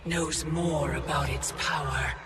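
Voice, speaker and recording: An actress voicing an ancient female Titan who keeps time, speaking in a measured, commanding and condescending way over a loud game score. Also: low-pitched, fairly even intonation, faint music behind, exaggerated voice. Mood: imperious. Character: Kronika, ancient female Titan keeper of time, cold and imperious. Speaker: villain. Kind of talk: video game cutscene acting.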